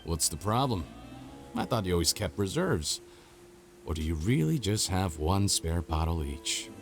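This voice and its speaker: A man's voice: sits low, very animated, a little slow, creaky, heavily acted. An actor playing a cold, calculating male schemer who talks down to his former partners, with game music behind. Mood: smug, cold. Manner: smug, calculating, dismissive.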